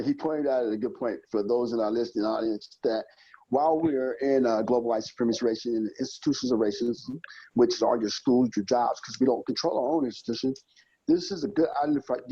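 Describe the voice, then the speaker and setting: A man's voice: medium pitch, warm tone, husky, dry recording, highs cut off. A man hosting a podcast interview, speaking to the listening audience and steering the questions. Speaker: host.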